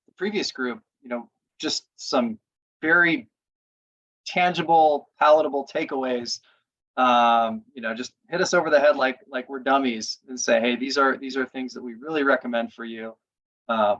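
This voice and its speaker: A man's voice: medium pitch, fairly bright tone. A man in his thirties or forties giving encouraging, informal feedback as a judge over a video call. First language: English. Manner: encouraging, colloquial. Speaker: competition judge. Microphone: video call microphone. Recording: dull video-call audio.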